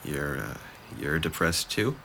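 A man acting a bitter, depressed young man in an audio drama. His voice is bright and sharp and swings widely in pitch, with sarcasm and defensiveness in the delivery. Faint noise sits behind him. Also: animated, a little slow, vocal fry, full character voice. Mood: subdued, remorseful.

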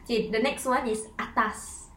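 A woman's voice: a little high, bright, forceful tone, reverberant, slight background noise. A woman from Singapore co-hosting a casual chat, teaching slang with giggly enthusiasm. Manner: giggly, enthusiastic, quick to laugh. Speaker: co-host.

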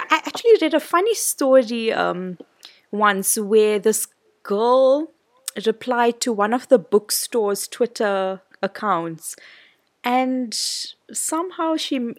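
A woman's voice: a little high, expressive, measured pace, dry recording, studio quality. A woman co-hosting a podcast, chatting in a friendly way and asking the guest questions. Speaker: podcast co-host. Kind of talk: casual podcast chat.

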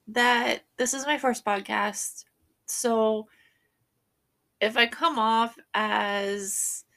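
Woman podcast host, stuffy and congested, slow and unsure, lots of ums and stretched vowels, gravelly fry on her words. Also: on the high side, pushed and bright. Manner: nervous, many fillers and drawn-out vowels; laughs between sentences. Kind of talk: casual podcast chat.

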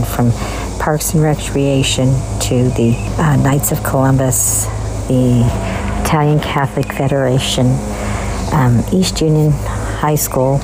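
A woman's voice: extremely low pitch, background noise. A middle-aged woman speaking earnestly and affectionately in a prepared tribute about her father. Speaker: guest's daughter.

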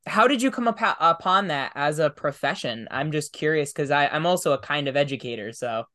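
A man's voice: fairly high pitch, lively intonation, fairly quick, pushed and bright. Conversational man hosting a podcast. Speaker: podcast host. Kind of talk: casual interview chat.

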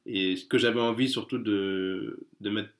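A man's voice: on the low side, fairly even intonation, moderate pace. A man chatting casually as an interview guest, hesitant, with many fillers and restarts. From France.

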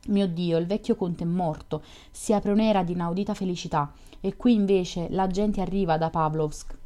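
A woman reads the part of a lonely young man, a dreamer full of melancholy, who pours out long fervent confessions. His voice sits low and mellow in her reading. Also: steady tone, normal speed, close to an everyday voice. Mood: fervent lament.

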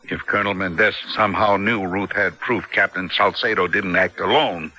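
An actor playing a keen, knowing American newspaper reporter in a radio drama. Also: male voice, low voice, steady tone, moderate pace, sharp tone, poor audio.

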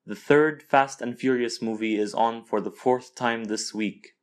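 A man's voice: medium pitch, unhurried. A man from Lebanon, an author, narrating his own literary short story from a script.

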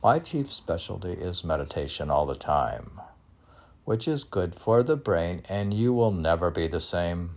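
An older man reading his own poems and chatting, halting and soft, often restarting his words. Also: low voice, very animated, vocal fry. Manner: halting, with frequent restarts and fillers. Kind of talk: poetry reading mixed with casual chat.

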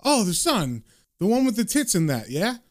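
A man's voice: sits high, very animated, normal speed, pitched above the performer's own voice. Guy putting on a thick chatty british cop, gullible, blokey slang, comic book story.

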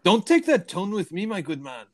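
A man's voice: sits high, wildly swooping pitch, normal speed, pitched above the performer's own voice, heavily acted. A man playing a haughty, indignant lord with an attempted Scottish accent in an amateur film script reading. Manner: haughty, theatrical. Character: pompous, indignant fake Scottish lord, comically bad impression.

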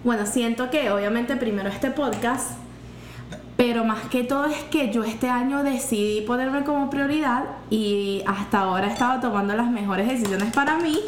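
A woman's voice: fairly high pitch, normal speed. A woman in her twenties with a Venezuelan accent, co-hosting a casual podcast chat, upbeat and laughing, mixing in English phrases. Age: 20s. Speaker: podcast co-host. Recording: echoey room with faint noise.

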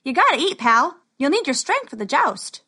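A woman's voice: on the high side, very animated, normal speed, sharp tone, exaggerated voice. Woman playing a brash, cheerful showman wizard. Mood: bossy, friendly. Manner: breezy, showy. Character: brash, cheerful male wizard entertainer, cocky pal.

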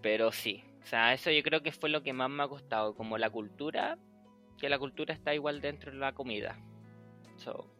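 A chatty young man from Chile hosts a casual podcast, his voice bright and forward. He slips into acted-out dialogue and laughs a lot, with faint music behind him. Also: mid-range voice, normal speed. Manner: chatty, acts out past conversations, laughs often. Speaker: podcast host. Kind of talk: casual chat.

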